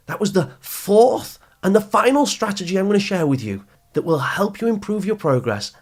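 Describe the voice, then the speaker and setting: A man hosting a motivational advice talk for teenagers, speaking in an emphatic, deliberate teaching manner that stresses key phrases. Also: on the high side, slightly rough. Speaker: podcast host and youth coach.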